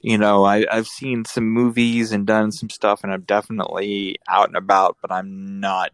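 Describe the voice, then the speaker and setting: A man's voice: lowish voice, little pitch movement, normal speed, a bit bright, dry recording. A man hosting a casual podcast, rambling and joking with his co-host, often restarting his sentences.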